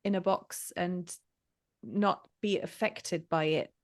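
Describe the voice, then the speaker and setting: A woman hosts a podcast interview, talking in a reflective, personal way and often pausing to find her words. The recording is dry and close, with no room sound around her voice. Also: fairly low pitch, steady tone, dry recording. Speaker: podcast host. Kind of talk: casual interview conversation.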